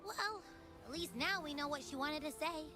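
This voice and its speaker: A woman's voice: sits high, normal speed, over music, close to an everyday voice. A woman voicing a small, drowsy female companion creature in a game, puzzled by humans. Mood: sleepy. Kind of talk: game voice acting.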